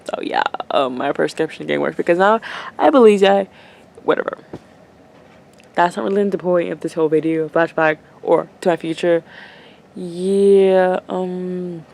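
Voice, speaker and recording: A woman's voice: fairly low pitch, vocal fry. An eighteen-year-old woman rambling casually through a video letter to her future self, with lots of fillers and restarts. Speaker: vlogger.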